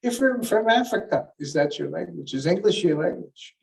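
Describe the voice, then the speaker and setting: A man's voice: high voice, lively intonation, moderate pace, vocal fry. A man from Canada giving a keynote as an elder, teaching earnestly through stories and retold conversations. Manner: earnest, teaching, retells conversations with many restarts. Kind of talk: keynote talk with storytelling. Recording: voice picked up from a distance.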